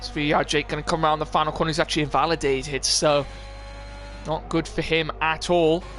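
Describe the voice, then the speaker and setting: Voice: mid-range voice, little pitch movement, a little fast, a bit bright, faint music behind. A commentator giving live play-by-play of an online motor race on a stream. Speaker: esports racing commentator.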